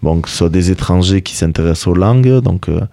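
Young male athlete, Basque native tongue, deep and mellow, thinks aloud with fillers, dry studio sound. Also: a little fast, creaky, no echo. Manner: hesitant, many fillers and restarts. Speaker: rugby player, interview guest. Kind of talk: casual radio interview.

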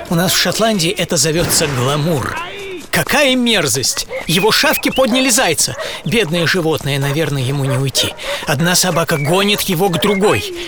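A man's voice: fairly high pitch, noisy background. A man playing a witty, self-assured philosopher in his forties, musing aloud in a first-person radio play with an ironic, theatrical manner. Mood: indignant, pitying. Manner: ironic, theatrical, swinging from musing to excited exclamation. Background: music.

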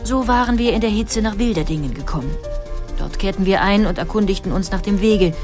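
A woman reading a woman's own first-person account of a long journey aloud, in measured storytelling. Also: medium pitch, animated, close to an everyday voice. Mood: wistful. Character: woman recalling a long early motorcar journey. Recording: music bed behind voice.

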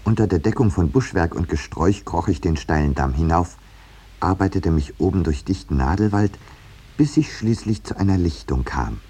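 An actor playing a peace-loving landscape painter who talks politely and with dry irony, in the style of 1950s radio drama. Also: male voice, low-pitched, lively intonation, normal speed, dark tone, husky, heavily acted. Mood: wry, unhurried. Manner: polite, dryly ironic, hesitant in dialogue. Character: wry, peace-loving male landscape painter caught in a war. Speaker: first-person narrator and character. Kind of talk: 1950s German radio drama acting and narration. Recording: noisy 1950s radio recording.

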